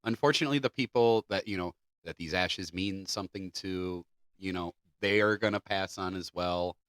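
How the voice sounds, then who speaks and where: A man's voice: sits low, moderate pace, a bit bright, no echo, studio quality. A man co-hosting a podcast in a casual, comedic, conversational style. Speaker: podcast co-host.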